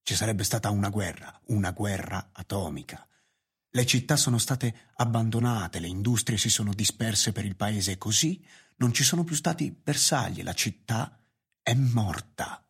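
John Webster, low, husky, resolute townsman. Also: moderate pace. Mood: solemn, resolute. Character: John Webster, resolute, nostalgic male town official. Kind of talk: radio drama monologue. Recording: radio play with music behind. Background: music.

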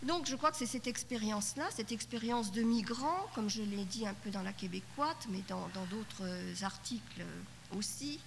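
A middle-aged woman, first language Yiddish, thinks aloud at a conference panel, pausing and restarting her phrases. Her voice sits at an ordinary pitch. It comes from an old cassette tape of a hall, with a long reverb tail and a light hiss underneath. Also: gravelly. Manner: thoughtful, halting, many restarts and fillers. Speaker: panelist at a literature conference roundtable. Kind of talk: spoken reflection at a conference panel.

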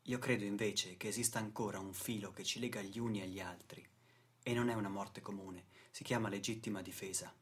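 A man's voice: on the low side, fairly even intonation, fairly quick, fairly bright tone. A man delivering a scripted radio theatre monologue in a solemn, rhetorical, declamatory manner. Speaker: stage actor and narrator. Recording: music playing behind the voice. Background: music.

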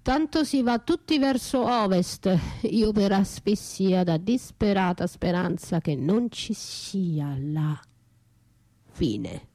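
An actress playing an anguished, bitterly lyrical battered wife left for dead, in an intimate, halting radio theatre monologue that drifts into whispers. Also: female voice, medium pitch, animated, mellow. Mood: fading, delirious. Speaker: stage and radio actress performing her own monologue. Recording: roomy echo, faint music behind.

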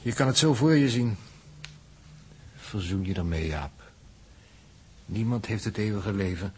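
A grave spaceship crewman with a low voice, resigned to a grand end. He declaims slowly and solemnly in a vintage radio play, over audible recording noise. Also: animated, darkish tone. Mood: solemn, fatalistic. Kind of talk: 1960s Dutch radio drama acting. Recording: noisy early 1960s radio drama recording.

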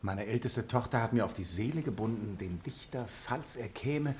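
An actor playing a plain-spoken middle-aged farmer in a German radio play, bantering with dry humour. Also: male voice, medium pitch, animated, normal speed, crowd noise, close to an everyday voice.

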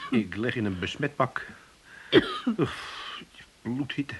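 An actor in a comic eighties radio play as a put-upon, grumbling, sarcastic son with a husky voice. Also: male voice, slow pace, a little hiss or hum, close to an everyday voice. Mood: grumbling, sleepless. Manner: grumbling, sarcastic, bursts into high shouting. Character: exasperated, put-upon Amsterdam son minding his family.

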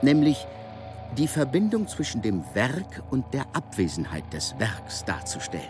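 A man giving reflective intellectual commentary in deliberate, formally phrased speech. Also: medium pitch, wildly swooping pitch. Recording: music playing clearly underneath.

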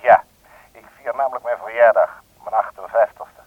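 A man's voice: medium pitch, moderate pace, husky, dry recording. An actor playing an affable, formally polite man of fifty-eight, speaking on the telephone in a radio play. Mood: genial, inviting. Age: 50s. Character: affable 58-year-old party host, eager and polite. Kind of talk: Dutch radio play dialogue over the telephone. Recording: thin, dry phone-like sound, old radio.

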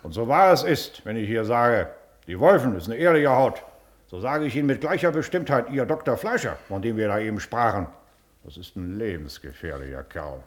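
An actor playing a self-important, condescending Prussian district official in a classic radio play, pompous and declamatory. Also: male voice, mid-range voice, expressive, brisk, natural voice. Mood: patronizing.

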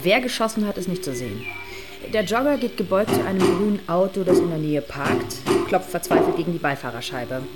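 An actress playing a matter-of-fact, dryly teasing police detective who briefs crisply in a German radio crime drama. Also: female voice, on the low side, animated, fairly quick, loud music behind the voice, close to an everyday voice. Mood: factual.

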